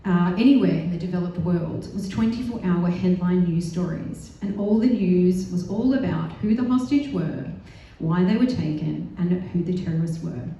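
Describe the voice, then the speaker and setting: An Australian woman delivers an earnest, determined speech at a live panel, with the odd wry aside about herself. Her tone is mellow. The sound is distant and heavily echoey, like a big hall, with a faint hiss behind. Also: fairly low pitch. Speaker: panel speaker. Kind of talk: prepared speech at a live event. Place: large synagogue hall.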